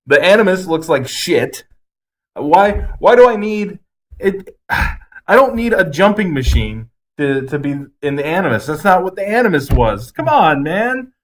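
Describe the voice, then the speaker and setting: A man's voice: high-pitched, big pitch swings, a little slow. A man co-hosting a podcast, giving blunt, emphatic opinions in casual chat with some swearing. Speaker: podcast co-host. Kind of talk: casual ranting movie review chat.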